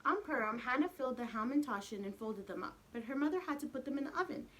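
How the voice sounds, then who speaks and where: A woman with a fairly high voice reads a children's story in an expressive, teacherly way. She speaks straight to her small listeners. Clear background noise runs through the recording. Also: fast talker. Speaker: teacher reading a picture book to young children. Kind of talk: animated storybook read-aloud with questions to the children.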